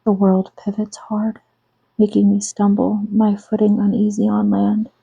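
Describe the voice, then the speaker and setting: Woman, soft-spoken ASMR reading, very flat and even delivery, gravelly vocal fry, close dry mic. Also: medium pitch, a little slow, no room sound, lo-fi sound. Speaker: author reading her own novel.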